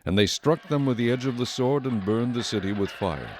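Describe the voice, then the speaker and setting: A man reading scripted Bible narration in a measured, solemn storytelling manner. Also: mid-range voice, animated, background noise. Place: dry studio.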